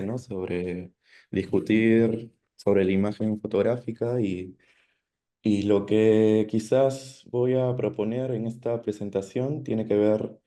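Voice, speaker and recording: A man's voice: lowish voice, mellow. A man from the Amazon, presenting his art practice on a video call in a thoughtful, hesitant way. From Peru, Amazon region. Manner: thoughtful, hesitant, many pauses and tag questions. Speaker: guest artist. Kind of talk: panel presentation.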